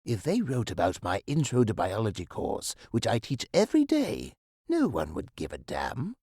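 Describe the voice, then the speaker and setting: An actor playing a busy, modest academic professor, formal and articulate, somewhat fussy and evasive, in a video game. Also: male voice, on the low side, wildly swooping pitch, creaky, mellow, breathy edge, dry recording, studio quality, full character voice. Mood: modest, dismissive. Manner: formal, articulate, slightly hurried. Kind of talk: scripted point-and-click game dialogue.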